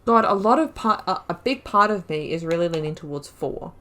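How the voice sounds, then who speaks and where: A woman's voice: fairly low pitch, lively intonation, fairly quick, fairly bright tone. A woman commenting on her own video game playthrough, thinking aloud, rambling and correcting herself mid-sentence with casual, slangy asides. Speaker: gaming channel host. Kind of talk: let's-play commentary.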